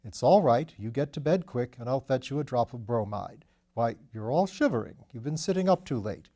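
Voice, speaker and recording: A man's voice: mid-range voice, animated, normal speed, mellow. A man voicing a soothing, unflappable English manservant. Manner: reassuring, deferential, coaxing. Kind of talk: audiobook character voice.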